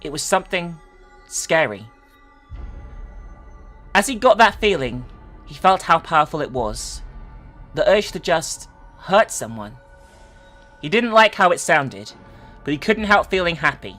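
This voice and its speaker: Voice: medium pitch, sing-song, a little slow, pauses often, a bit bright, faint music behind. A person giving a theatrical dramatic reading of an online horror story, with sweary, mocking asides. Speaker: online video host.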